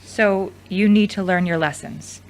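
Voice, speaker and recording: A woman's voice: medium pitch, unhurried, a little hiss or hum, close to an everyday voice, rough recording. A woman playing a frank, supportive ex-girlfriend in a conversational audio drama. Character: frank, teasing, supportive ex-girlfriend and studio manager.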